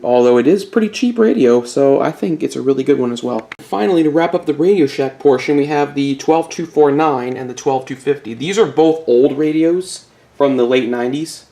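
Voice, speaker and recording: Male online video host for a collector's showcase; creaky mid-range voice, casual and explanatory delivery. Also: mid-range voice, warm tone. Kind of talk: informal product showcase with buying tips.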